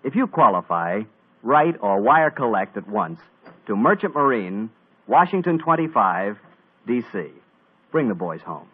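A young male radio announcer with an upbeat, smooth 1940s commercial delivery. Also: on the low side, big pitch swings, measured pace. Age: young adult. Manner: upbeat, smooth salesman patter. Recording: tinny old radio broadcast.

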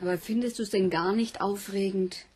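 A woman's voice: medium pitch, moderate pace, a little mellow, a little hiss or hum, lightly acted. An actress playing a wry, spirited university friend in a radio play, a woman who teases and coaxes. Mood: coaxing. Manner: dry, teasing, coaxing.

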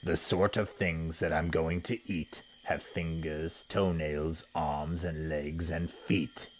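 A man gives voice to an enormous, greedy storybook crocodile. The voice is very low and drawn out, savouring every word with sly, gloating menace. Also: flat delivery, takes it slowly, a little hiss or hum.

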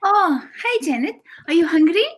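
A woman's voice: very high-pitched, expressive, moderate pace. A woman playing a fretful Korean immigrant mother, speaking pleading broken English with a Korean accent on a video call. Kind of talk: live table read.

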